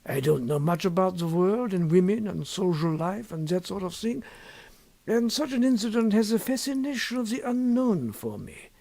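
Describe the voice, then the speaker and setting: A man voicing a sly, impassive, secretive scholar in an audiobook story, with measured, deliberate and formal phrasing. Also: high-pitched, big pitch swings, gravelly, warm tone, no echo, pitched above the performer's own voice, exaggerated voice. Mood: teasing, impassive. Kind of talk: audiobook character dialogue.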